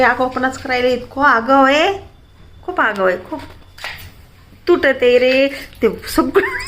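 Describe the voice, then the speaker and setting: High-pitched woman, rural Marathi accent, noisy recording. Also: a bit bright. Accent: Marathi, rural Maharashtra. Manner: scolding and coaxing, repeats short commands. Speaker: mother filming her toddler for a family vlog. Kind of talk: casual home chat with a small child. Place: indoors at home. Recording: noisy home phone recording.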